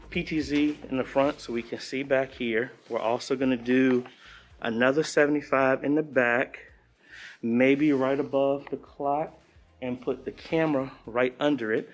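A man in his thirties talking informally and practically, thinking aloud as he explains an installation in a casual vlog style. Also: medium pitch. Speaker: church audio-video installer and vlogger.

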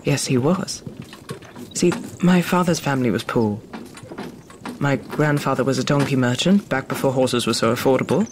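A man's voice: medium pitch, moderate pace, natural voice. An actor playing a polite, curious young man from the plains in a fantasy audio drama, with formal, earnest phrasing. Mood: calm, open. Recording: clearly noisy background of clicks and horse-like sounds.

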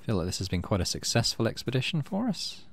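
Lively-toned man with a low voice. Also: quick pace, vocal fry. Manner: thinks aloud while playing. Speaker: let's play host. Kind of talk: casual game commentary and reading game text aloud.

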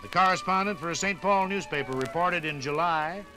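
An American man narrating a 1950s radio documentary in a dramatic, deliberate storytelling style. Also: on the high side, rough recording. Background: dramatic music.

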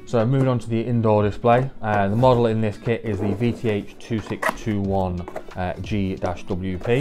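A young British man giving an informal, plain-spoken product walkthrough, explaining things step by step in an indoor office. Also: low voice. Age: young adult. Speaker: technical manager presenting a product walkthrough. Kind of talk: informal instructional product demonstration. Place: indoor office, seated at a table.

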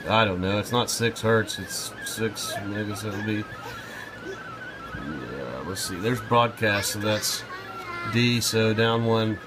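A man's voice: fairly low pitch, steady tone, slow, noisy background. A man thinking aloud informally as he gives a casual home demonstration as a radio hobbyist, sometimes talking aside to a child. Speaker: amateur radio hobbyist filming a video. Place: small room at home, indoors. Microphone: handheld phone or camera, held by speaker. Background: shortwave radio sounds and music.

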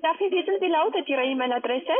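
A woman call centre agent, not a native speaker, giving polite, halting instructions over the phone. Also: high voice, expressive. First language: not German. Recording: rough narrowband phone line.

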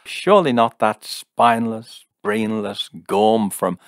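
A man's voice: deep voice, moderate pace, vocal fry, no room sound, clean studio sound. A man voicing a hot-tempered, sneering young man in an audiobook story, blustering and sarcastic. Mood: sarcastic, bitter.

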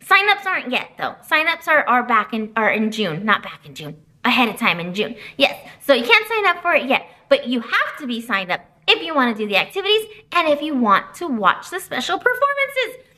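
A woman's voice: high-pitched, brisk, hardly any pauses. A woman in her thirties, a children's librarian, reading a storybook to kids and giving announcements in a sing-song voice from a library room on a webcam. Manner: animated, sing-song teacher voice.

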